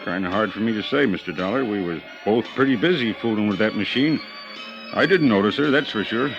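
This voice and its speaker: An actor playing a slow, evasive arcade handyman in a 1950s radio drama, talking in a drawling, folksy way, on a dull old radio transcription with music underneath. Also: on the low side, lively intonation.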